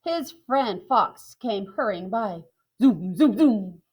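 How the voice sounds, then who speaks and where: Slow, clear woman reading a children's story. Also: mid-range voice, expressive, muffled recording. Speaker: narrator.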